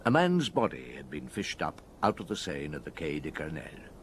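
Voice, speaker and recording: An actor playing a shrewd, world-weary male chief inspector in a detective audio drama, measured and probing. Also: rumbling low, very animated, slight background noise, exaggerated voice. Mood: grave, reflective. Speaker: lead actor and narrator.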